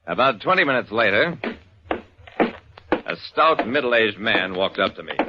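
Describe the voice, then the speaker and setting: A man's voice: on the low side, animated, a little slow. An actor playing a wry private detective who narrates his cases in a dryly exasperated way on radio. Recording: muffled, noisy old radio recording with clicks.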